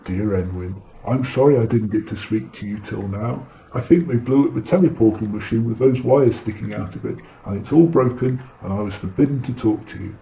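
A man's voice: on the low side, fairly quick, gravelly, few pauses, dark tone, a little hiss or hum, poor audio. A man playing a vain, boastful alien who relays messages telepathically in a pompous, theatrical way for a comic audio drama. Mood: mock romantic. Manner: pompous, smug, theatrical, easily sidetracked.

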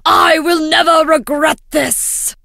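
An actress voicing a tiny, naive, melodramatic gummy bear in a game, with a squeaky delivery. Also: female voice, fairly even intonation, takes it slowly, creaky, pushed and bright, no echo, studio quality, heavily acted.

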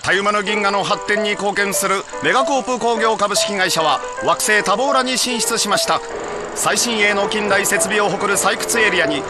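A man's voice: high-pitched, lightly acted. A man playing a chipper corporate promo narrator in a video game, formal and polished in his announcing. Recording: loud music underneath.

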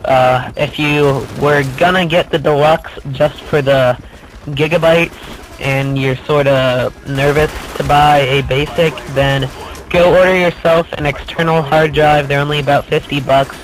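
A man's voice: mid-range voice, slight background noise, rough recording. A young man, congested from a cold, chatting casually as he talks over his gameplay. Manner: chatty, rambling, with fillers. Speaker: gaming channel host.

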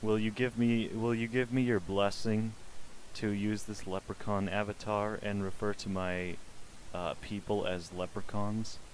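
Low-voiced young man chatting through a headset. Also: little pitch movement, a little slow, slight background noise, poor audio. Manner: chatty, politely pestering strangers. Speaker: streamer exploring a social VR game. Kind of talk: casual gaming commentary.

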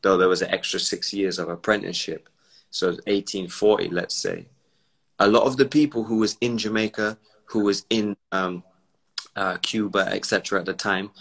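A man's voice: low voice, vocal fry, fairly bright tone. A man from Barbados, raised in the UK, talking emphatically in a casual live video chat, lecturing at length and acting out quoted voices on a phone at home. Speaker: entrepreneur and commentator. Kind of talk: casual live-stream conversation. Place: indoors at home. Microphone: phone on a live video call.

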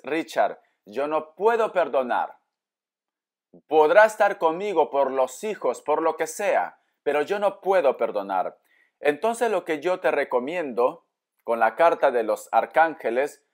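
Guy doing a tarot reading, talks right to the viewer, kinda high voice, really up and down, explains stuff. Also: very animated, unhurried. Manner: explanatory, asks and answers own questions. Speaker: tarot reader, host. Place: indoor room with books at a table.

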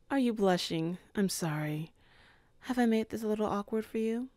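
A woman voicing a calm, composed female boss in an ASMR office roleplay, speaking in a measured, softly flirtatious way. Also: mid-range voice, dry recording, studio quality, natural voice. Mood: teasing.

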